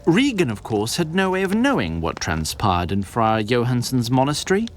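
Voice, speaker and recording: A man's voice: mid-range voice, big pitch swings, moderate pace, heavy background noise. A man narrating a scripted fantasy story with a literary storyteller's delivery.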